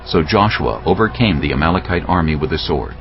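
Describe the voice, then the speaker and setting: A man narrating a dramatized scripture reading in a measured, solemn storytelling manner. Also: rumbling low, expressive, muffled recording, soft background music.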